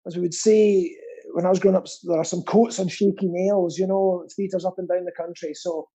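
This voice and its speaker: A man's voice: high-pitched, fairly even intonation, a little fast, dark tone, husky. A man chatting casually as a guest, rambling and earnest, with a self-deprecating streak. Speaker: guest, pantomime actor and theatre stagehand. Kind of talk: casual chat.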